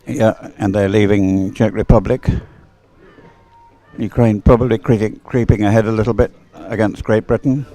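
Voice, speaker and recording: A man's voice: lowish voice, fairly even intonation, normal speed, mellow. A man doing live sports commentary and off-the-cuff chat in a hesitant, rambling way, often repeating words.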